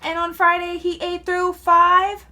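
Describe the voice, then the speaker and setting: High-pitched woman reading to a child. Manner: expressive, pausing to prompt a child. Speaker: mother reading aloud to her young son. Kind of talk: children's picture-book read-aloud.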